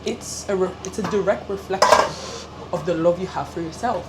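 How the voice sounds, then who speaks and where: A woman guest on a casual podcast, joining in with playful, lively banter. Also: lowish voice, moderate pace, very noisy.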